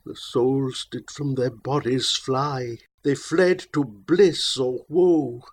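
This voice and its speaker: A man's voice: mid-range voice, fairly even intonation, slow pace. A man reciting narrative poetry in a theatrical, solemn way, drawing out his phrases. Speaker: poetry reader.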